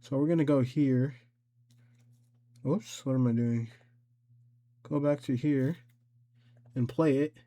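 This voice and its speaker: Man, mid-pitched voice, casual tutorial delivery, rambling, thinking aloud. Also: slow, pauses often, mellow. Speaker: tutorial presenter. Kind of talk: casual screen-recorded software tutorial.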